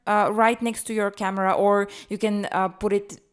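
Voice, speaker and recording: A woman's voice: medium pitch, level tone, moderate pace, clear, resonant tone. A woman co-hosting a podcast, explaining presentation tips in a careful conversational way. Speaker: podcast co-host, presentation coach.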